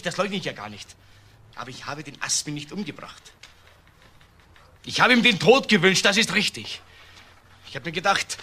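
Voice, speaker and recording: An actor playing an intense, bitter, lovelorn murder suspect, speaking emphatically and confessionally in a crime radio play. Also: male voice, high-pitched, bright, forceful tone, husky, exaggerated voice. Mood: impassioned, bitter. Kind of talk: German crime radio drama acting. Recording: faint background noise.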